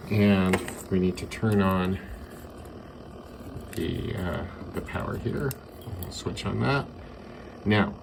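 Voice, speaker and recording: A man giving a casual hands-on demonstration, unhurried and thinking aloud as he goes. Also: deep voice, background noise. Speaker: radio hobbyist presenter. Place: indoors by a window.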